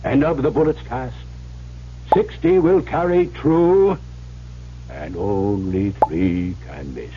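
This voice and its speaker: An actor playing a rustic storyteller in an old-time radio drama, telling a spooky folk tale with relishing, dramatic, old-fashioned diction. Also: male voice, medium pitch, slow. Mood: ominous relish. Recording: muffled vintage radio recording with hum.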